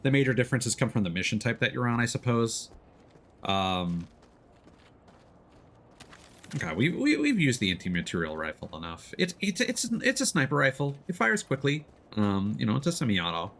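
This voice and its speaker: Excitable male game streamer, lively pitch. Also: moderate pace. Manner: excitable, exclaims when surprised. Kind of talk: live gaming commentary, casual chat with viewers.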